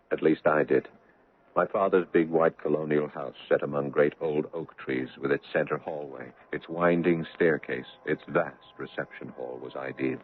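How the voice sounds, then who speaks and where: A man's voice: rumbling low, a little hiss or hum. A man playing an earnest, anxious young bridegroom, telling his story in formal, ornate phrases in a 1970s radio drama. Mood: wistful, dreamy. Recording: muffled vintage radio broadcast.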